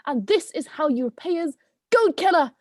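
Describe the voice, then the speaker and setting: A woman's voice: high-pitched, expressive, normal speed, dry recording, studio quality. A woman playing a melodramatic, indignant talking goat in a theatrical, wry way for a play reading. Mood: outraged.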